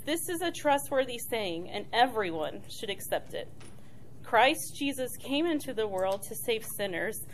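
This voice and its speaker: A middle-aged woman, soft-spoken and chatty, leading a casual church talk on prayer with many fillers and restarts. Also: a little high, slight background noise.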